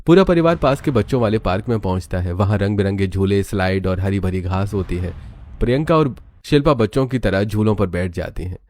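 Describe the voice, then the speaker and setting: A man's voice: on the low side, fairly quick, darkish tone. A man narrating a scripted family cartoon story.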